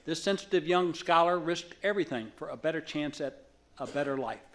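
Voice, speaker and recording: A man's voice: fairly high pitch, lively intonation, fairly quick, fairly bright tone. A man giving a formal introduction speech at an awards ceremony, as the board chair.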